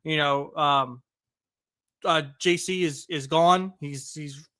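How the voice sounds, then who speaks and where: A man co-hosting a casual podcast, his voice bright and ringing and pitched a little high. He talks eagerly and conversationally, with plenty of fillers, into a close mic in a dry room. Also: clean tone, dry recording. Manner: excited, quick, conversational with filler. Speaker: podcast co-host. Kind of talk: casual wrestling podcast chat.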